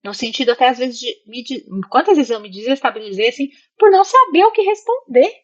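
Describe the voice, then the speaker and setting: Brazilian woman, expressive, on a dull recording. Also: high-pitched, fairly quick. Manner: expressive, acts out imagined remarks, seeks agreement with listeners. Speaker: interview guest, psychologist living in Italy. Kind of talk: casual, reflective interview talk.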